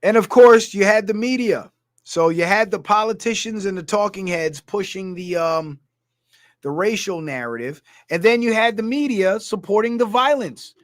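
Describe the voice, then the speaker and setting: A high-voiced man from Guyana rants through his livestream, heated and blunt as he fires off political commentary. His voice is close and dry, with no room sound around it. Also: animated, moderate pace, no echo. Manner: heated, ranting, reading comments aloud. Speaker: streamer.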